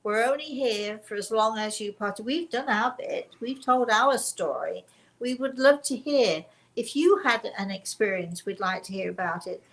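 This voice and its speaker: A woman's voice: on the high side, normal speed, low-quality recording. A woman hosting a spiritual show, chatting casually and emphatically with a guest and viewers, often repeating herself. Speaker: host of a spiritual story and question show.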